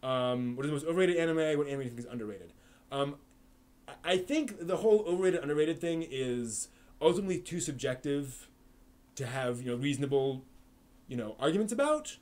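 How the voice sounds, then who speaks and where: A man's voice: a little high, a little mellow. A man hosting a live stream, chatting casually and answering viewer questions, with lots of fillers and restarts. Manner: rambling, frequent fillers and restarts.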